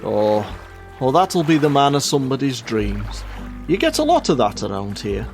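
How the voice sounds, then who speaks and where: A man's voice: mid-range voice, very animated, moderate pace, darkish tone, faint music behind. A man playing a grumbling, henpecked husband hawking fish on a beach in an amateur radio play. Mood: matter-of-fact.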